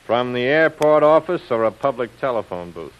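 A man's voice: medium pitch, lively intonation, normal speed, close to an everyday voice. A shrewd hired gunman from a vintage radio crime serial, played by a male actor. He is cool, tough and matter-of-fact, heard through a dull, aged recording. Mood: cool, businesslike. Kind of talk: old-time radio crime serial acting.

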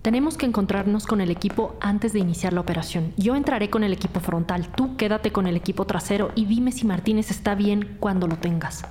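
A woman's voice: medium pitch, brisk, few pauses, a little mellow, slight background noise, lightly acted. A woman playing a stern, short-tempered police detective in an audio drama, curt and sarcastic. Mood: firm, determined. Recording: music bed under the voice.

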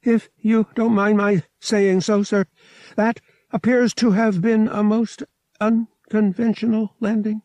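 Prim sci-fi audiobook droid, played by a male reader: mechanical, pitched high, deferential, anxious, fussily formal. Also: flat delivery, slow pace, darkish tone, no echo, muffled recording. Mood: shaken, prim. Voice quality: mechanical tones.

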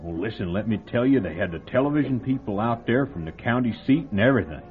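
An actor playing a chatty, folksy small-town diner owner who relishes telling a gruesome local story, in old-time radio drama style. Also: male voice, lowish voice, animated, quick pace, natural voice. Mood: gossipy. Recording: phone-like old radio broadcast with faint music.

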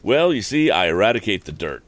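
Guy putting on a folksy older handyman, rambly and polite, deep voice jumping around. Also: very animated, fairly quick. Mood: folksy, deferential. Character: rustic older male mule-driving handyman.

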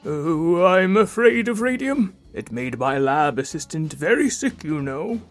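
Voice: medium pitch, animated, unhurried, faint music behind, close to an everyday voice. An actor playing a polite, nervous older male inventor in an audio drama, formal and fretful. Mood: flustered.

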